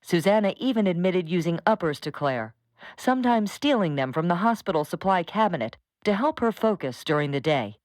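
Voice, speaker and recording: A woman's voice: lowish voice, expressive, brisk, a little mellow, no room sound, natural voice. An actress playing a female news journalist, delivering a formal scripted crime report for an audio drama. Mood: earnest, formal.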